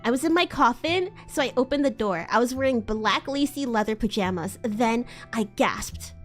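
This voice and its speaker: A woman hosting a livestream dramatic reading of fan fiction, giggly and sweary, with asides. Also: high-pitched. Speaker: livestream host.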